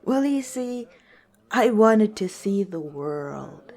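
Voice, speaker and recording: A woman voicing a gentle, wistful female orc tavern owner in a soft-spoken, hesitant ASMR roleplay. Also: mid-range voice, big pitch swings, slow pace, heavily acted.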